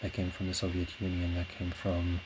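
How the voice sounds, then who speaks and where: A man's voice: deep voice, a little fast. A man whose native language is Portuguese, speaking in a casual solo podcast ramble, hesitant and reflective as he searches for words. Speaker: podcast host; classical singer. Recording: clear steady background noise.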